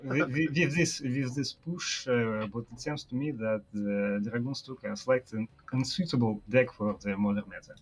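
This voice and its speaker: A man with a Russian accent giving esports commentary as a guest caster, halting and hesitant. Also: medium pitch, slightly rough, poor audio. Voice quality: slow, halting, laughs nervously.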